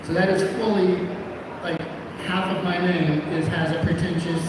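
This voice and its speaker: A man doing a stand-up comedy set, rambling through self-mocking asides with filler pauses. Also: sits high, level tone, background noise. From Florida. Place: comedy club stage. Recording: echoey room audio from a distant mic.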